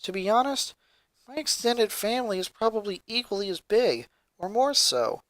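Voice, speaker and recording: A man's voice: high voice, expressive, normal speed, a bit bright, no echo, pitched above the performer's own voice. A man voicing a tough but caring tomboy girl in a story reading, earnest and easily embarrassed. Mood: earnest, tender.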